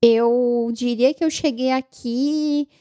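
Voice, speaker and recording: A woman's voice: a little high, slow, dry recording. Chatty woman, dry close sound. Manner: relaxed, reflective, chatty. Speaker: guest answering viewer questions. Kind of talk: casual conversation.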